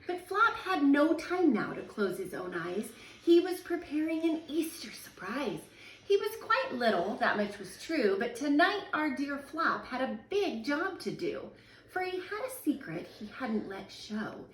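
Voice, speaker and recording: A woman, a teacher in her thirties, reading a children's picture book aloud at home in a sing-song, expressive way. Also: high voice, a little slow, slight background noise. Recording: echoey room, voice a little far from the mic.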